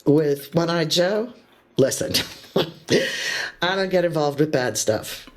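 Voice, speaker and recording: An actress playing a laid-back, street-wise diner server and informant in a science fiction audio drama, with slangy, drawling talk. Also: female voice, deep voice, takes it slowly. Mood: cagey.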